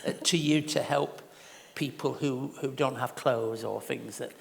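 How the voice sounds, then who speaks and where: An older man hosting a church interview, asking gentle questions with stammering restarts. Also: mid-range voice, fairly even intonation, a little slow, creaky. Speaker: host. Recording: echoey hall with long reverb.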